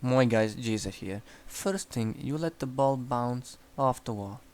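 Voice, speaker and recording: A man's voice: medium pitch, a little slow, a little mellow. A young man with a Croatian accent, bluntly coaching a player through a game replay with casual swearing. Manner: blunt and profane, frequent restarts. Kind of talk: replay analysis commentary.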